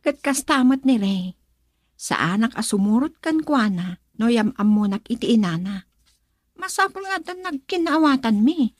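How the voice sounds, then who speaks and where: An actress with a northern Philippine accent playing a dutiful, gentle young woman in love in a radio drama, soft-spoken and hesitant. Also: fairly high pitch, lively intonation, moderate pace, no room sound, studio quality. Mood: thoughtful, earnest. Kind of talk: Ilocano radio drama acting.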